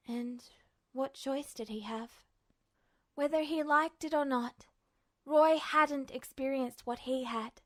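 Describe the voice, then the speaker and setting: Lady w a high voice reading a story out loud, dramatic audiobook narrator. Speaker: fan fiction podfic reader.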